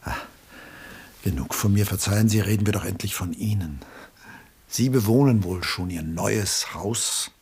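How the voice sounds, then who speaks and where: An actor playing an embittered, lonely middle-aged artist in a mid-century German radio play, melancholy and brooding, with a husky voice and hushed drops. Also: male voice, lowish voice, a little slow, exaggerated voice. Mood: earnest, brooding, then polite interest. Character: Julian, embittered aging artist, lonely, self-pitying father.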